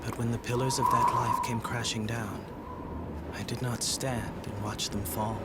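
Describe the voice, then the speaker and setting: A man's voice: medium pitch, level tone, measured pace, lightly acted. An actor playing an earnest, anguished young nobleman in a fantasy game, with heartfelt, archaic theatrical diction. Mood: somber reflection. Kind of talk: dramatic fantasy game voice acting. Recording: loud background noise.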